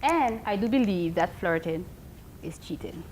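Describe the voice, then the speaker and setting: A woman presenting the discussion topic on a talk panel, animated and quizzing the others. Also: fairly low pitch, normal speed, slight background noise. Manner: animated, asks the panel questions. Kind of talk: casual panel chat.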